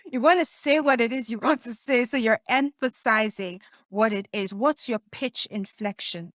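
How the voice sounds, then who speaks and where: A woman with a soft voice, teaching communication skills as a coach in an emphatic way, often putting questions to the listener. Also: fairly high pitch, no room sound, studio quality. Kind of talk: teaching presentation tips to camera.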